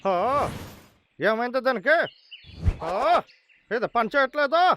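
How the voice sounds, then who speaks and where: A man's voice: very high voice, expressive, measured pace, dull audio, noisy background. A man voicing a scheming, boastful male logger villain in a children's cartoon, with a sly, exaggerated manner. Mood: grumbling effort. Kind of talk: dubbed children's cartoon acting.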